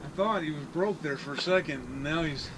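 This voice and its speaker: A middle-aged man with a fairly high voice grunts out wry comments while reeling. He is on an action camera in an open river boat. Heavy wind and water noise sits under him. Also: a little fast. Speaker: angler and channel host. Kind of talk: casual chat while fighting a fish. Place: small open boat on a wide river outdoors.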